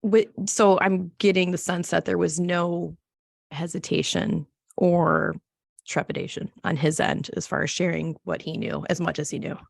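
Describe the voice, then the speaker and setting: A woman's voice: fairly low pitch, steady tone, normal speed, creaky, no echo, studio quality. A woman hosting a podcast, interviewing in a casual, conversational way.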